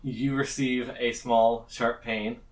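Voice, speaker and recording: A woman's voice: extremely low pitch, little pitch movement, slow, darkish tone. A woman narrating as game master in a tabletop role-playing game. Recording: distant mic, faint noise.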